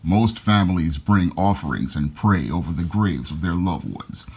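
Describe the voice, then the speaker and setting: A man voicing an ancient, brooding male fire god in a very deep, low and hushed voice. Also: lively intonation, moderate pace, slight background noise. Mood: solemn.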